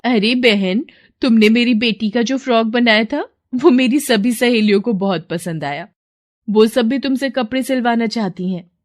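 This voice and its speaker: A woman's voice: on the high side, moderate pace, soft background music, voice pushed up, natural voice. A woman voicing a friendly, admiring neighbour in a moral story, speaking emphatically. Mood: pleased.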